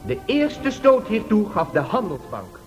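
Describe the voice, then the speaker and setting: A man's voice: high voice, wildly swooping pitch, unhurried. A man giving scripted, dramatic narration in a historical radio play. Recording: radio drama with faint music.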